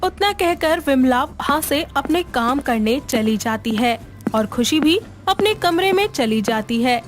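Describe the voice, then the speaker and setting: Higher-pitched woman narrating a story. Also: animated, measured pace, soft background music. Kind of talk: scripted children's moral-story narration.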